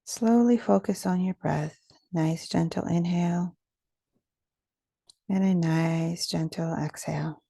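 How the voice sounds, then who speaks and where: Woman doing a guided meditation, soft gentle pacing w pauses, very mellow tone, dry close sound. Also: on the low side, gravelly, dry recording. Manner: gentle, soothing, measured delivery with pauses.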